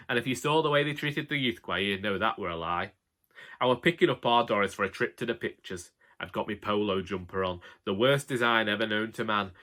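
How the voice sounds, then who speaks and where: A man voicing a wry, long-suffering elderly husband with a Northern English accent in a colloquial comic audiobook monologue. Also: lowish voice. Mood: wry reminiscing. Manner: colloquial, wry, deadpan grumbling. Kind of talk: first-person comic monologue, audiobook narration.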